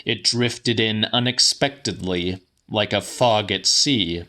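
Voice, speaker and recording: A man giving a dramatic first-person audiobook reading of literary fiction, with deliberate, emphatic, theatrical delivery. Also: lowish voice, bright, forceful tone. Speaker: audiobook narrator.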